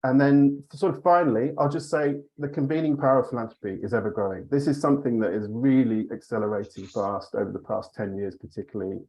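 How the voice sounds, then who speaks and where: A man working as a charity director, giving an overview in a measured way, often hedging with "sort of" and "you know". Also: medium pitch, fairly even intonation, mellow, highs cut off. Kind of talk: panel overview remarks.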